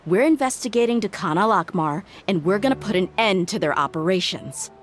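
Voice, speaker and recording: A woman's voice: animated, fairly quick, faint music behind, close to an everyday voice. A woman voicing a blunt, tough female mercenary in a video game, speaking firmly and plainly. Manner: blunt, firm, low-key.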